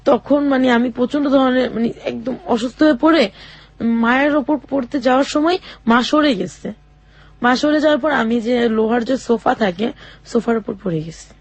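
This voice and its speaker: A young woman telling her own life story in an interview, speaking haltingly with many fillers and restarts. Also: a little high. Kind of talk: personal testimony in a radio interview.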